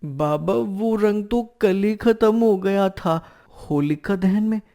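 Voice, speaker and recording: A woman's voice: mid-range voice, takes it slowly, mellow. A woman voicing an eager, demanding little boy from a poor family in a cartoon story. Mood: pleading.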